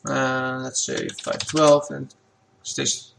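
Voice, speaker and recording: A man with Dutch as his first language walks through a software tutorial at his desk. His mid-pitched voice has a gravelly edge, and he explains each step in a relaxed, plain way, with keys and clicks heard behind him. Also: very slow, a little hiss or hum. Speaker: game asset creator.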